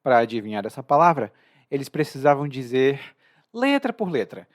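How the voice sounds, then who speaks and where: A man with a Brazilian Portuguese accent hosts a podcast lesson. He is chatty and expressive and slows down to stress key words. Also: medium pitch. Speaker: language teacher and podcast host.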